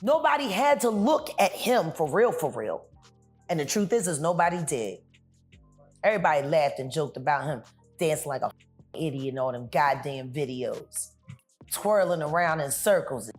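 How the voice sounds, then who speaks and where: A woman's voice: low voice, lively intonation, normal speed, soft background music. A woman in casual comedic chat, blunt, joking and slangy.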